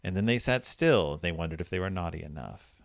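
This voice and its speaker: A man with a lively, widely swinging voice hosts a podcast in a dry, close-sounding recording. He casually retells a fairy tale, slipping into quoted dialogue and putting leading questions to his listener as a conversational storyteller does. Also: sits low, a little fast, vocal fry, no room sound. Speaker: podcast host.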